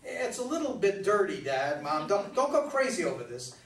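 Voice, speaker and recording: Man acting an earnest, high-voiced know-it-all son, echoey. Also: big pitch swings, moderate pace. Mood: earnest, pleading. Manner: earnest, pedantic. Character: earnest, know-it-all son mediating his bickering parents. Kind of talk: staged play reading before an audience. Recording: echoey, noisy room, distant microphone.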